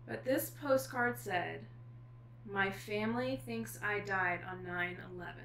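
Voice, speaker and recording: Woman, middle-aged author, bright voice, casual storytelling with thoughtful pauses, echoey room. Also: medium pitch, creaky. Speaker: guest author. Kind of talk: casual chat. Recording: echoey room with faint noise.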